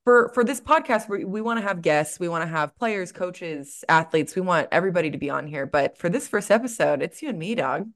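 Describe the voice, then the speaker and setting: A woman's voice: lowish voice, expressive, brisk, few pauses. A young woman co-hosting a podcast in casual chat, with a laid-back, informal, slangy way of talking. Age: young adult. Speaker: podcast co-host, softball player.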